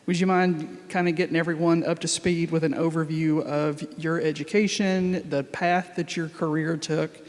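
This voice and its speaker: A man hosts a library talk and asks questions in a playful, informal way. His voice sits somewhat high, and it carries the long reverb of a library event hall. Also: level tone, moderate pace, few pauses. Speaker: event host. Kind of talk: casual interview questions.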